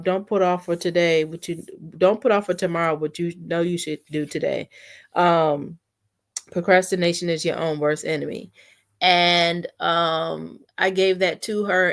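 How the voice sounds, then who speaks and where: A woman chatting casually in a rambling, unscripted vlog, sounding a little congested, on a built-in computer mic. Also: fairly low pitch. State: mildly congested, sniffly. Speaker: vlogger practicing for a podcast. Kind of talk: casual personal vlog chat. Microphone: built-in computer mic, no external microphone.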